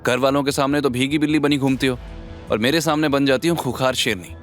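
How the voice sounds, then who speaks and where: A man's voice: medium pitch, fairly even intonation, fast, soft background music, lightly acted. A man playing a cocky, defiant young husband in an audio drama, blunt and nonchalant. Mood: taunting.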